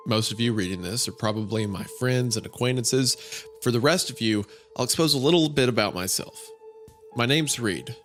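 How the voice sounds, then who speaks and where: Man acting young male addict blogger, sarcastic, bitter, self-mocking, chatty storytelling, bright tone, faint music behind. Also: medium pitch, normal speed, lightly acted. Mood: breezy. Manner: chatty, sarcastic first-person blog delivery.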